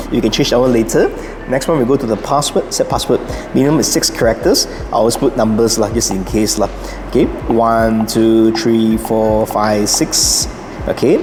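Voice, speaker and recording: A man hosts a casual, unscripted setup guide, talking himself through each step in short instructions. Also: medium pitch. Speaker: host of a car channel giving a setup guide.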